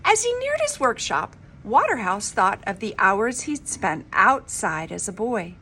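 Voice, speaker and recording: A woman reading a children's story aloud in an expressive, dramatic, emphatic storybook manner. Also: mid-range voice, measured pace, a little hiss or hum. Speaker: reader of a children's book.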